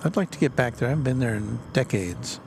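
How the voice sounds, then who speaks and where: Gravelly-voiced man outdoors by a runway. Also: mid-range voice, expressive, fast talker. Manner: chatty, reads viewer comments aloud. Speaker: livestream host reading chat. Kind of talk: casual live commentary. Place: outdoors near an airport runway. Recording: loud outdoor airport noise. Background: aircraft and traffic noise.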